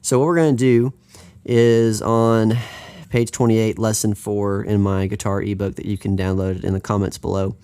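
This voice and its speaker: Upbeat American guitar teacher, lowish voice. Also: male voice, flat delivery, moderate pace, dark tone, smooth. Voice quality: upbeat, chatty, rambling with restarts. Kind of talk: live online guitar lesson.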